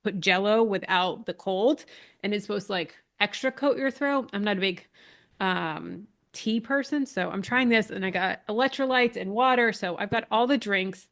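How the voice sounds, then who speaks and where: A woman's voice: medium pitch, normal speed, a bit bright. Woman in her thirties, slightly nasal from a cold, chatty and upbeat, live webinar host, a bit short of breath. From Massachusetts. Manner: chatty, upbeat, reads out chat names. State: getting over a cold, a little short of breath. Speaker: online business coach hosting a live class. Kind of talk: casual live webinar talk.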